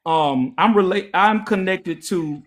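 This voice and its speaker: A man's voice: high voice, takes it slowly. A young man from Louisiana with a Louisiana accent, hosting a heated livestream debate, lecturing and taunting. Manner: lecturing, mocking, repetitive taunts. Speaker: live stream host.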